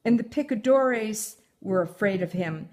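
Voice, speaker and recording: An older woman, a mother reading a children's story aloud in a measured, deliberate way. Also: medium pitch, expressive, darkish tone.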